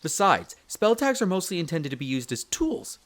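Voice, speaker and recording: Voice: mid-range voice, moderate pace. A performer playing a young male elf explorer, a chatty, friendly guide speaking to the listener in an audio roleplay. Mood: enthusiastic explaining. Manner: expressive, chatty, stammers when flustered.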